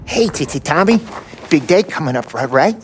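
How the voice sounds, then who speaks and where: An actor voicing a nervous, friendly mob mechanic in a video game. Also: male voice, on the high side, sing-song, normal speed. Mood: nervous, helpful. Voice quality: pronounced stutter. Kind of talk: cinematic game acting.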